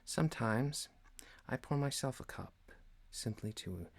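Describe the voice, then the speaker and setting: Very slow, hushed man doing ASMR roleplay. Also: medium pitch, lively intonation. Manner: hushed, deliberate, often near-whispered, soothing. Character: calm, genteel male boss leading a relaxation ritual. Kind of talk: ASMR roleplay, guided relaxation.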